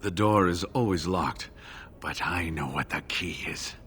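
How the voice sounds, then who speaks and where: A man playing an injured, earnest scholarly monk in a video game, speaking in a halting, expressive way. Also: low voice, slight background noise. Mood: urgent, determined. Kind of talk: dramatic video game dialogue.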